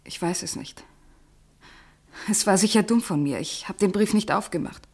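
A woman's voice: medium pitch, moderate pace, a little mellow, slightly rough. An actress playing a troubled, fearful woman who speaks in a subdued, confiding way in a German radio play. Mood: anxious, subdued.

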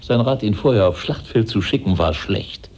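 A man acting in a German radio play as an embittered, suspicious head of a revolutionary government, formal, aggrieved and dramatic, with a husky, dark voice. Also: fairly low pitch, lively intonation, normal speed.

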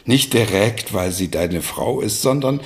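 Stout older father, gravelly creak, lively swooping pitch, blunt, insistent, radio drama. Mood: insistent, reproachful. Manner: deliberate, urging, with pointed pauses. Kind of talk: German radio drama acting.

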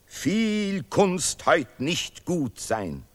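Radio-play role: pompous zealot of language and fatherland, extremely animated, declamatory, ungrammatical, repetitive. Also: on the high side, very slow, full character voice. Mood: grave, pompous. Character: pompous Nobel laureate artist, zealous patriot of language and fatherland. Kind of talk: experimental radio play dialogue in broken, chanted German.

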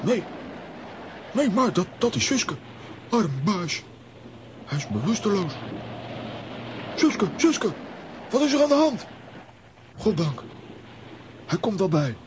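A man's voice: high-pitched, big pitch swings, slow pace, long pauses, background noise, full character voice. A man playing a hot-headed, blustering comic adventurer in a children's radio play, theatrical and exclamatory. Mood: alarmed.

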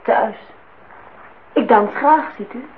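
Plagerige jonge vrouw, hoge stem. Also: female voice, a little high, slow. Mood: probing, forthright. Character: cool, teasing, independent young woman visitor. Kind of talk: naturalistic radio drama dialogue. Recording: noisy, phone-like old radio recording.

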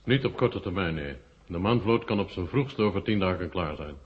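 A dull vintage radio recording of a Soviet marshal. His voice sits low, and he speaks in a formal, deliberate way, stern and guarded. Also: lively intonation, slight background noise. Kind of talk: Dutch radio play acting, 1970.

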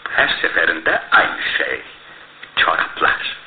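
An actor in a radio play playing a shrewd, self-assured detective, speaking emphatically with pondering pauses. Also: male voice, extremely low pitch, sing-song, full character voice. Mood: excited, deducing. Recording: roomy, tinny radio broadcast with faint noise.

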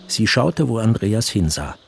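Radio drama narrator: composed literary storyteller, lowish voice with very varied intonation, carefully phrased. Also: male voice, big pitch swings, a little slow, vocal fry, breathy edge, exaggerated voice. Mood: descriptive.

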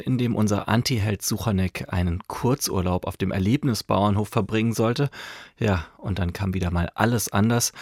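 A man introduces a radio play as its presenter. His voice is on the low side and the studio sound is close and dry, with scripted delivery. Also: no echo. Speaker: programme presenter. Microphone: close studio mic.

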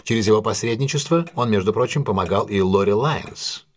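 An actor playing a shrewd detective in an audio drama, recounting a solved case in a measured, deliberate, explanatory way. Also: male voice, fairly low pitch, close to an everyday voice. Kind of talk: dramatised storytelling monologue in an audio play.

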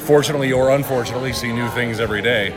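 A man in a casual interview as a writer, explaining things in a measured way. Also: mid-range voice. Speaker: audio drama writer being interviewed. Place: busy public venue at a convention. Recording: crowded event with chatter behind. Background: crowd.

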